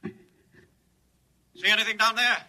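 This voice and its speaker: An actor playing a nervous, excitable scientist in an old-time radio drama, anxious and exclamatory. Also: male voice, high-pitched, slow, heavily acted. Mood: alarmed. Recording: noisy old radio recording, roomy at times.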